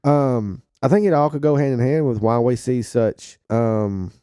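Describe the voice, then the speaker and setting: A man co-hosting a podcast speaks at an ordinary mid pitch, easygoing and a bit hesitant with ums. The recording is dry and close. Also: measured pace, mellow, smooth, no room sound. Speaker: podcast co-host. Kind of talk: casual chat.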